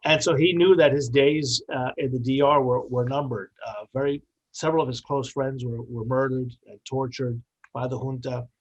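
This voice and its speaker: A middle-aged or older man from New York telling his story as an interview guest, in a measured, articulate, explanatory way. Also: medium pitch, steady tone, lo-fi sound. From born in the Dominican Republic, grew up in New York.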